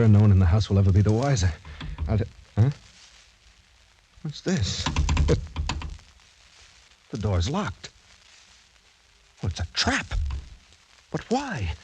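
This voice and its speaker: A proud young gentleman in a vintage radio play. His speech is formal and dramatic, with animated rises and falls. A slight noise sits on the old recording. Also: fairly low pitch, rough recording. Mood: tense, bewildered. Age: young adult. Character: proud, indignant young French gentleman, trapped and bewildered. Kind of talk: 1940s-50s radio acting.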